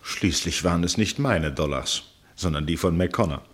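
A cultivated gentleman narrating a radio drama. His voice sits very low with a husky grain. He speaks in measured phrases, with dry irony and an air of detachment. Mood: wry, measured. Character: wry, detached gentleman narrator and amateur chess player. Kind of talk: German radio play narration and dialogue.

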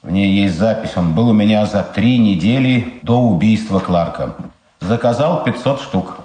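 An actor playing a proud, principled blind war veteran in a radio drama, measured, restrained and ironic. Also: male voice, fairly low pitch, mellow, echoey room, natural voice. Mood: guarded, matter-of-fact.

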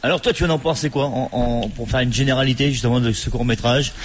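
A man's voice: mid-range voice, quick pace, slight background noise. Chatty male radio host, sing-song. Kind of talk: casual live radio interview.

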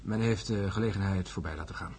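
A man's voice: deep voice, fast, darkish tone, a little hiss or hum, natural voice. An actor playing a sombre, defeatist male astronaut in a Dutch radio drama. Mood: gloomy, resigned. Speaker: character in a radio play.

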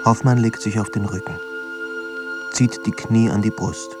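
A man narrating a literary radio drama in a measured, solemn way, pausing between phrases. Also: on the low side, fairly even intonation. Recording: studio narration over clear music. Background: music.

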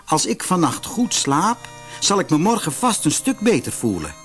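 A gentle, ailing mother in a children's storybook, played by a male narrator, talks tenderly and wearily. Her voice is weak and sighing, lifted a little in pitch. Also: huge pitch swings, normal speed, faint music behind. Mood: weary, reassuring. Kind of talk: storybook character voice.

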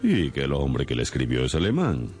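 Deep, swooping, pompous detective. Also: male voice, wildly swooping pitch, a little fast, a little mellow, soft background music, full character voice. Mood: probing, deductive. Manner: theatrical, didactic, pompous. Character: brilliant, condescending male consulting detective. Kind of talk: radio drama acting.

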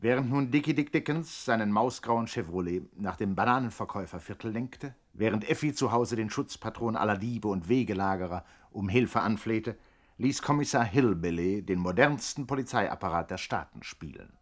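Wry crime-spoof narrator, male actor: lowish voice, ornate tongue-in-cheek radio storytelling. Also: normal speed, lightly acted. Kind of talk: 1960s radio drama narration.

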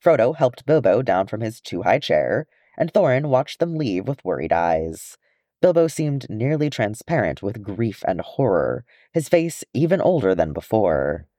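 A man reading a fantasy story aloud as expressive scripted narration. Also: lowish voice, measured pace, no echo, studio quality. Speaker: audiobook reader of fan fiction.

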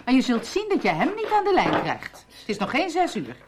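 An actress playing a suspicious, sharp-tongued wife in a radio play, sarcastic and challenging. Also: female voice, high voice, wildly swooping pitch, fairly quick, background noise. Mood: sceptical. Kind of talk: Dutch radio play acting.

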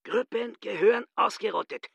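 An actor playing an agitated, mocking and fervent man in a literary radio drama, with a theatrical, drawn-out delivery and a husky voice. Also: high-pitched, a bit bright, dry recording, highs cut off, heavily acted. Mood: agitated, accusing.

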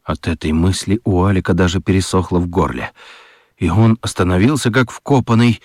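A man narrating a children's radio story in an expressive, scripted storytelling style. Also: low-pitched, fairly quick, no echo, studio quality.

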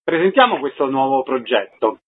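A man's voice: mid-range voice, big pitch swings, moderate pace, slightly rough. A man hosting a radio show with concise announcements and questions. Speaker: radio host, interviewer. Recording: narrow lo-fi radio sound.

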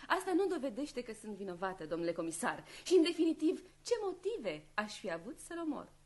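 A woman's voice: on the high side, big pitch swings, darkish tone. An actress playing an anxious, earnest young woman under suspicion in a radio play, protesting in a pleading, indignant manner. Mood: defensive.

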